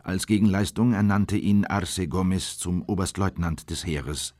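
An actor playing a former official soberly recounting an interrogation in a radio drama, husky, measured and grave. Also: male voice, low-pitched, a little mellow, no room sound. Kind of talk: scripted first-person radio drama narration.

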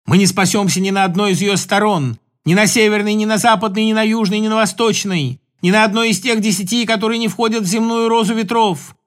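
A man's voice: high voice, fairly even intonation, fairly quick, few pauses, a bit bright. A man voicing a passionate young woman chanting a long, rhythmic lament to her beloved. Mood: fevered.

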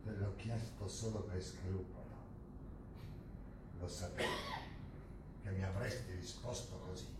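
Stage drama: coldly mocking, coarse interrogator for a regime, threatening, deliberate; heard far from the mic in a reverberant hall. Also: male voice, deep voice, fairly even intonation, a little mellow. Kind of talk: live stage drama acting. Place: theatre stage, indoors. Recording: echoey, noisy theatre recording from far off.

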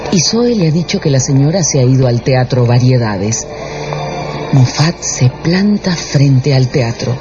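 A man's voice: medium pitch, lively intonation, unhurried. A man delivering scripted, dramatic narration for a radio drama. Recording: dull radio production with music underneath.

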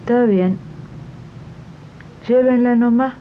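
Casting: woman to play a hard-up country mum, halting and resigned, slow delivery, muffled archive radio drama sound. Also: on the high side. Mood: hesitant, resigned. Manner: hesitant, resigned. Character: poor, resigned, hesitant country mother. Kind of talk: 1980s radio drama acting.

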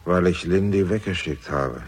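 An actor playing a composed, menacing older father in a German radio crime drama, speaking formally and deliberately with a gravelly, husky voice. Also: low-pitched. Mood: guarded, controlled.

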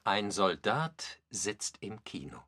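A man's voice: fairly low pitch, very animated, slow, sharp tone, full character voice. A man giving explanatory, musing commentary in a documentary radio play. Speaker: narrator and commentator.

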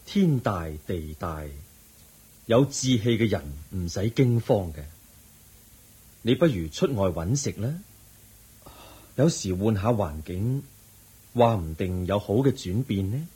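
A man with a Hong Kong Cantonese accent playing a wise, compassionate Buddha, gentle and solemn, in a radio drama. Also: lowish voice, expressive, takes it slowly, pauses often, slightly rough, exaggerated voice. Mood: serene. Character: calm, wise, compassionate Buddha giving counsel.

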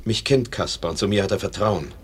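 A man's voice: on the low side, brisk, husky. A man playing a polite, protective schoolteacher in a radio play, deferential and pleading. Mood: urgent pleading. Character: gentle, deferential male schoolteacher, protective of his ward. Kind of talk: German radio play acting.

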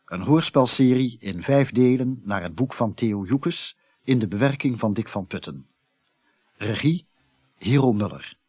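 A man's voice: medium pitch, animated, measured pace, a little mellow, breathy edge, no room sound. A man reading a scripted radio drama announcement as the series announcer, with music behind him.

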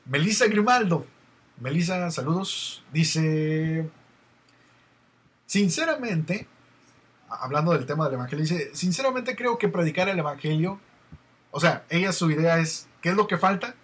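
A man's voice: fairly high pitch, expressive, unhurried, long pauses, a bit bright, husky. A man with a Mexican accent hosting an online radio show, chatty and meandering as he reads listener messages. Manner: chatty, meandering, many fillers. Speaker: radio host.

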